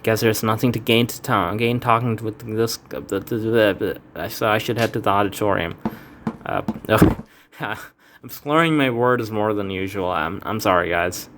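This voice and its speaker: A man's voice: lowish voice, moderate pace, background noise. A man reading a fan game aloud, voicing its characters with casual asides and stumbles. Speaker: let's play fan dubber. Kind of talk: casual game playthrough with voiced dialogue.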